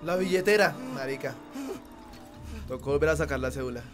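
A man's voice: a little high, a little fast. A young Colombian man with a Colombian accent, chatting and joking with viewers as he plays a video game at home. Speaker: streamer. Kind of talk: casual gameplay commentary. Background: game music.

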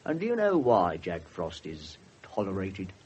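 An English actor playing a seasoned, loyal police sergeant with a dry, measured manner in a radio drama. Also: male voice, low-pitched, wildly swooping pitch, darkish tone, slightly rough, exaggerated voice. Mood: dry, reproving. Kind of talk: 1970s radio drama acting.

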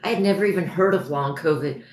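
A woman in her forties with a hoarse voice, chatting casually and haltingly as she answers viewers on a livestream. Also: sits low, darkish tone. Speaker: livestream host. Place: dim living room at home, indoors. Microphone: phone propped a step or two away. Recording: echoey room, phone at a distance.

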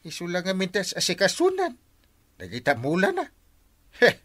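Cantankerous village captain, forceful, wildly animated, blustering, radio comedy. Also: male voice, high voice, moderate pace, no room sound, clean studio sound, full character voice. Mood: grumbling, blustering. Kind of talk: Ilocano comedy radio drama.

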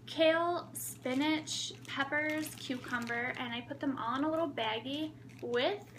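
A woman's voice: high-pitched, measured pace. Upbeat woman vlogging at her kitchen table, lots of ums, faint room hiss behind. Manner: chatty, upbeat, with frequent ums. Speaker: flight attendant vlogger. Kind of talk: casual how-to vlog. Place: small room at home, indoors.

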